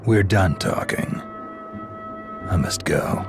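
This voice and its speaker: A man's voice: low voice, animated, slow, music in the background. A man playing a stern demon-hunting warrior in a video game, speaking in a terse, cold way. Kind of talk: video game character acting.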